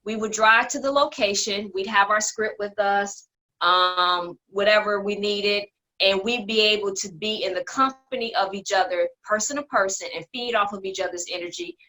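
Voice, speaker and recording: A woman's voice: mid-range voice, pushed and bright, poor audio. A woman hosting a casual panel discussion from her home studio over a video call. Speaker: host and theater producer.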